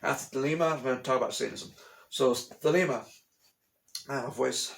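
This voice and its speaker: A man's voice: mid-range voice, brisk, creaky, fairly bright tone. Rambling man talking to camera at home. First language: English. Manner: rambling, repeats phrases often; blunt, dismissive of conspiracy theories. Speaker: vlogger. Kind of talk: casual explanatory monologue. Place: at home, room with a TV.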